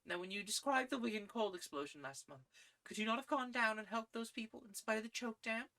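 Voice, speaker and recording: A woman's voice: on the high side, fairly quick, pushed and bright, voice pushed up. A reader voicing an idealistic, proud young woman who speaks in earnest, lofty tones. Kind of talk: audiobook character reading.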